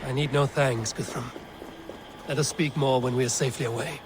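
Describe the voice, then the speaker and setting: A man's voice: mid-range voice, steady tone, close to an everyday voice. Man as a resolute Viking warrior, outdoor noise behind. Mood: commanding, calm. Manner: measured, archaic formal phrasing. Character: hardened male Viking warrior leader, resolute and measured. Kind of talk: video game dramatic acting.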